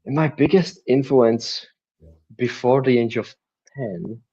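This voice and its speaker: A young man from the Czech Republic with a Czech accent, a native Czech speaker, answering interview questions remotely in a halting way. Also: mid-range voice, mellow, slightly rough, highs cut off. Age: young adult. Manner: halting, with restarts and fillers. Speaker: guest, mindset coach.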